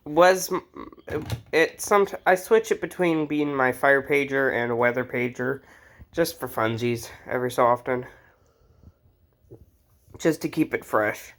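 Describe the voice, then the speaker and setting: A man's voice: medium pitch, a little slow, a bit bright. A man talking casually and informally as he shows off a collection, rambling as he goes. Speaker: host showing a radio collection. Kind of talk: casual hobby show-and-tell.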